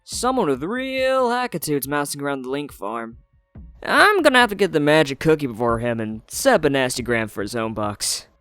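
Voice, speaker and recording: A man's voice: a little high, sing-song, normal speed, fairly bright tone, smooth, no echo, soft background music. A young man playing a cocky teenage hacker sidekick in a comic dub, brash and slangy. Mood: intrigued. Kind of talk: comic book dub acting.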